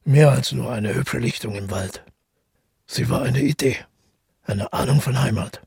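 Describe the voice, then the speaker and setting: An actor playing a withdrawn, middle-aged widower who tells his story in a radio drama, husky and gravelly, reflective and measured. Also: medium pitch, a little mellow, no echo, clean studio sound, heavily acted. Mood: earnest. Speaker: narrator and protagonist. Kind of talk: literary radio drama monologue.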